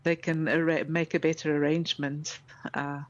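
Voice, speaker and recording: South African woman, measured and thoughtful. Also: low-pitched, flat delivery. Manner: thoughtful, with frequent restarts and soft laughs. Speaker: correspondent. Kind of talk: casual conversational discussion. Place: in Kenya. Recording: slightly dull lo-fi audio.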